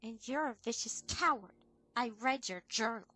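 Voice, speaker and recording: A woman plays a young cartoon pony princess with a high voice. She sounds brave and defiant, speaking firmly and earnestly. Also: animated, moderate pace, sharp tone. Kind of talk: cartoon fandub acting.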